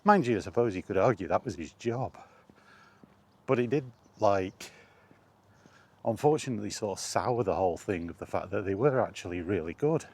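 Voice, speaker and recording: A man chatting casually and solo as a podcast host while out walking, in a halting, understated way with pauses and hesitations. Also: fairly low pitch, husky. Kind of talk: casual rambling chat recorded while out walking. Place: outdoors, walking to and in a park. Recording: steady background noise, outdoor ambience.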